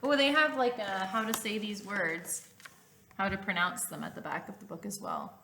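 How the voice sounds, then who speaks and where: Very bright-voiced woman reading to children. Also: medium pitch, animated, normal speed, a little hiss or hum. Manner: clear emphasis, asking listeners questions. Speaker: teacher reading aloud to children. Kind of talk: children's storybook read-aloud with explanatory asides.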